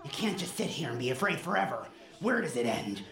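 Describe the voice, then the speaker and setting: A woman's voice: deep voice, big pitch swings, a little fast, vocal fry, over music, exaggerated voice. A woman acting in an audio drama as an intense, persuasive captive child, speaking earnestly and urgently. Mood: insistent, urgent. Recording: roomy, echoing student audio drama.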